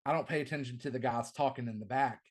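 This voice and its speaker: A middle-aged man who calls and announces wrestling, chatting loosely in an interview. His voice sits at a medium pitch, not deep, but it carries well. He talks with eager energy and keeps doubling words as he gets a sentence going. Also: fast. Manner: enthusiastic, repeats words at the start of phrases. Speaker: wrestling commentator and ring announcer. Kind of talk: casual podcast interview.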